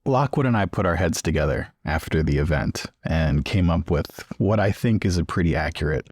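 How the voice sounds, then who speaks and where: A man's voice: low voice, lively intonation, moderate pace, a little mellow, slightly rough, dry recording, studio quality. A man improvising casual in-character dialogue as a player in a tabletop roleplaying game.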